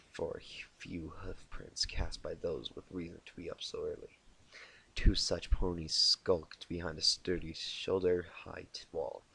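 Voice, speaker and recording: A man narrating a storybook tale as an amateur reader, halting and often repeating words he stumbles on. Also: deep voice, vocal fry, pushed and bright, slight background noise, poor audio. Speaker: amateur fan fiction reader. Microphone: inexpensive home microphone.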